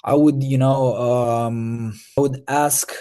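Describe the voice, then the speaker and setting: A man's voice: medium pitch, fairly even intonation, takes it slowly, mellow. Young man, hesitant. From Moldova. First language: Romanian. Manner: hesitant, many fillers and restarts. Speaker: Eurovision artist. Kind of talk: interview answers.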